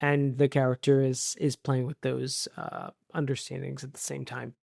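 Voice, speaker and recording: This is a podcast co-host talking casually about movies. The delivery is animated and jokey, full of exclamations and asides. The pitch sits in the middle, and the sound is dry with very little room. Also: normal speed, vocal fry, no room sound. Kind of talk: casual movie discussion chat.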